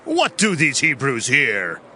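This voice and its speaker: A man's voice: medium pitch, huge pitch swings, takes it slowly, sharp tone, a little hiss or hum, heavily acted. A man playing an angry, scornful Philistine prince in a dramatized scripture reading, declaiming with indignation. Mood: indignant.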